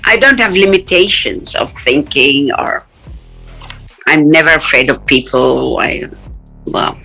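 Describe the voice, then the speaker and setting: Middle-aged woman from Palestine, interview guest, lively storyteller who laughs a lot, sharp bright tone. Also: sits low, a little slow, vocal fry, faint music behind, rough recording. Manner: animated, acts out quoted voices, laughs often.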